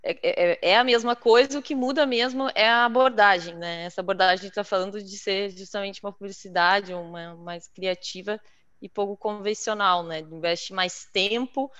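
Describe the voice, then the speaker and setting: Young woman, smooth voice, dull call audio. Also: mid-range voice, fairly even intonation, normal speed, fairly bright tone, no echo. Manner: filler-heavy, repeating words. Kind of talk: live webinar conversation. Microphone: headset on video call.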